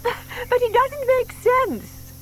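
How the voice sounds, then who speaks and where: A woman's voice: extremely high pitch, takes it slowly, poor audio. An actress playing a sweet, trusting older lady, hesitant, polite and easily puzzled, in a children's audio drama. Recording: faint hiss from old cassette tape.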